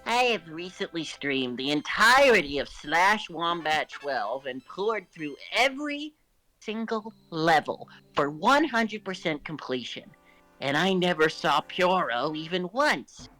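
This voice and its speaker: A man's voice: a little high, big pitch swings, a little slow, pushed and bright, soft background music, full character voice, low-quality recording. A man voicing a smug, bratty young turtle prince who hosts a web show, in a theatrical, pompous, emphatic cartoon manner. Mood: accusing. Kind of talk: cartoon voice acting in a fan story reading.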